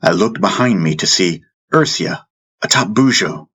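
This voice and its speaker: A man narrating a first-person fantasy audiobook in a measured way, with dramatic pauses. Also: deep voice, expressive, a bit bright, breathy edge. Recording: music bed under the voice. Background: background music.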